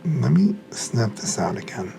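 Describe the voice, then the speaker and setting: A man's voice: mid-range voice, big pitch swings, unhurried, vocal fry, warm tone, a little hiss or hum. A man giving casual hands-on unboxing commentary, muttering to himself as he works with his hands. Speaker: hobbyist reviewer.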